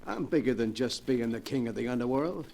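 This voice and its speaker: A man's voice: medium pitch, animated, brisk, gravelly, darkish tone. An actor playing an arrogant, menacing gangster boss in 1940s radio crime melodrama, with tough-guy slang and a commanding manner. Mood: commanding, boastful. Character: arrogant, menacing male gangster boasting he cannot be killed. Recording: noisy vintage 1940s radio recording.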